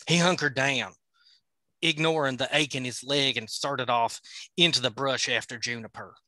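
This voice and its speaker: A man's voice: medium pitch, fairly even intonation, normal speed, bright, forceful tone, no echo. A man hosting a podcast, reading a novel aloud with playful, joking asides. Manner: playful, laughs at his own slips.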